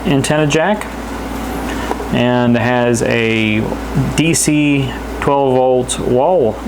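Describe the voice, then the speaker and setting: Casting: man, mid-pitched, casual product reviewer, plain-spoken and hesitant, recorded indoors with clear background hum. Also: very slow. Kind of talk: casual unscripted product demonstration. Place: indoors in a house.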